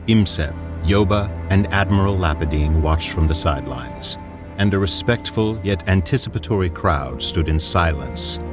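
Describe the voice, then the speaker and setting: A man narrating an audio drama in a calm, measured way. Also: sits low. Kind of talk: scripted narration.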